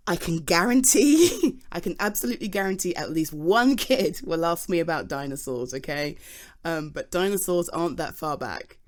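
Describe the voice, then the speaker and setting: A woman's voice: on the low side, natural voice. A British woman playing a flustered, chatty geology nerd in an ASMR roleplay, explaining things in a rambling, hesitant, eager and informal way. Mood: excited, flustered.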